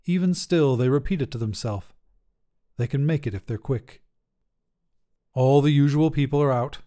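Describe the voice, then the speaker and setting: A man's voice: mid-range voice, moderate pace, mellow. A man narrating a scripted short story as a podcast reader, with a dramatic delivery.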